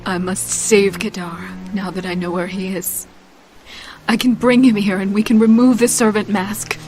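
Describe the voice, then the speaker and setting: A woman's voice: medium pitch, steady tone, moderate pace, noisy background, lightly acted. An actress playing a determined, cynical young female thief in a game, speaking in a dry, resolute way. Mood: urgent, resolute. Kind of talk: game briefing narration and cutscene dialogue.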